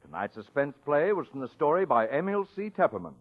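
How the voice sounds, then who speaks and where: High-pitched, lively male vintage announcer. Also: brisk. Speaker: radio announcer. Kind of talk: 1940s network announcing. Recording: tinny old radio, faint music.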